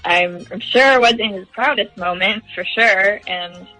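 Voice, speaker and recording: A woman's voice: mid-range voice, unhurried, bright, forceful tone, soft background music, low-quality recording. A young woman speaking as a phone guest on a radio show, telling things casually. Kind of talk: casual storytelling.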